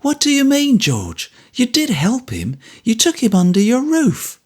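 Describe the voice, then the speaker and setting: A man voicing a warm, quick-witted soldier's wife who speaks with motherly fondness. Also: very high-pitched, huge pitch swings, voice pushed up, exaggerated voice. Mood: pitying. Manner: quick, motherly, fond exclamations. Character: older woman, warm, brisk soldier's wife.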